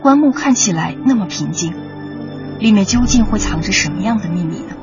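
A woman's voice: mid-range voice, animated, brisk. A woman narrating a radio documentary in a dramatic, scripted style. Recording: slightly muffled, music underneath.

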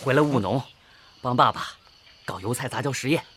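A man's voice: medium pitch, brisk, a bit bright, a little hiss or hum, close to an everyday voice. An actor in a radio drama playing a sincere, dutiful teenage farm boy, earnest and resolute. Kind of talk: Chinese radio drama acting. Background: music.

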